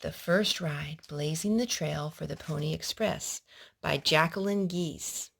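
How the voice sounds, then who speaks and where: A woman's voice: low-pitched, unhurried, creaky, fairly bright tone, breathy edge. A woman hosting a children's storytime, reading picture books aloud and asking little prompting questions in a child-directed way.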